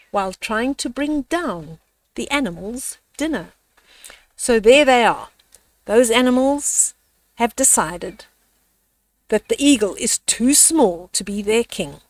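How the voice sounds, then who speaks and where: An older woman hosting a children's storytime, reading a picture book aloud in a measured, dramatic way. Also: fairly high pitch, far from the mic, low-quality recording.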